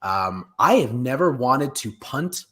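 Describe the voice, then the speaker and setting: Jokey, animated man in casual chat. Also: mid-range voice. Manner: jokey, animated, halting with self-interruptions. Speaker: co-host of a pop culture live show. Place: home room, indoors, video call.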